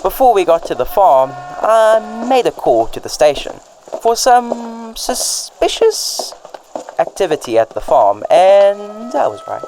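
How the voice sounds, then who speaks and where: A man's voice: high voice, very animated, takes it slowly, darkish tone, exaggerated voice. A man playing an earnest, polite and slightly awkward detective hero in a noisy amateur radio drama recording.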